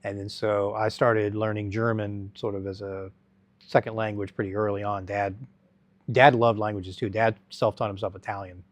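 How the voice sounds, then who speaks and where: Low-voiced man talking haltingly. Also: little pitch movement, dry recording. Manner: rambling, halting, many restarts and fillers. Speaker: guest. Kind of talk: conversational interview storytelling.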